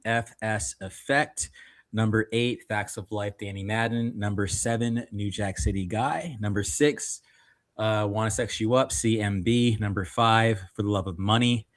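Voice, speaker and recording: Enthusiastic low-voiced man on a podcast. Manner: enthusiastic, drawn-out emphasis when praising. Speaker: podcast co-host giving his ranking. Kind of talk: casual podcast chat.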